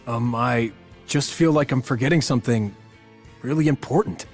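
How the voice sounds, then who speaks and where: An actor playing a troubled, hesitant young cadet in a video game, halting and uncertain. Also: male voice, mid-range voice, soft background music, close to an everyday voice. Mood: troubled, unsure.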